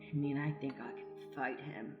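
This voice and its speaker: A woman's voice: extremely low pitch, steady tone, moderate pace, muffled recording. A woman voicing a flaky, earth-loving would-be magician hero with earnest, rambling bravado in an improvised radio comedy. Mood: resigned. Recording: roomy echo with music underneath.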